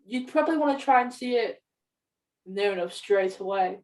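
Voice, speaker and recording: A young woman chats casually on a podcast. Her voice is mid-pitched and sounds distant from the microphone, with noticeable room echo. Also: lively intonation, normal speed, darkish tone. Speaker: podcast co-host, media student. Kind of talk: casual film podcast chat. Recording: roomy echo, distant from mic.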